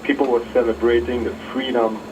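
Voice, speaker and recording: A man phoning in to a talk show, speaking in a hesitant, halting way. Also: medium pitch, flat delivery. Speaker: phone-in caller. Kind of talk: casual, halting call-in remarks. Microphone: telephone. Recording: muffled, noisy, distant phone call.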